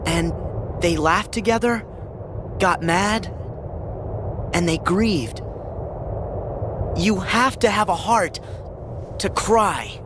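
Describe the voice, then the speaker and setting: A man's voice: high-pitched, animated, very slow, long pauses, background noise, full character voice. An actor playing an earnest, stubborn teenage boy hero, plainspoken and defiant, in a video game cutscene. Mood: earnest, heartfelt. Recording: game soundtrack music behind the voice.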